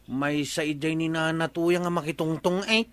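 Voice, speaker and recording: A man's voice: on the high side, steady tone, moderate pace, fairly bright tone, clean tone, no room sound, natural voice. A man with an Ilocano accent playing an earnest, talkative village fellow in a comedy radio drama. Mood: earnest, insistent.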